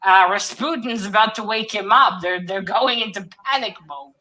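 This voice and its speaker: A woman's voice: mid-range voice, moderate pace, over a noisy crowd. A woman co-hosting a casual book discussion on a live stream, talking informally and jokingly. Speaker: co-host of a literary read-along stream.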